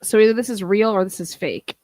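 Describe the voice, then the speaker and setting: A woman's voice: mid-range voice, brisk, a little mellow, dry recording. Woman commenting casually, dry close sound.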